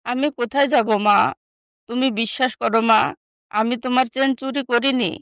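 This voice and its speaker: A woman playing a neglected, soft-hearted young stepsister in an animated moral story, meek and pleading. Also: a little high, moderate pace, clear, resonant tone, no room sound, clean studio sound. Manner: pleading, submissive.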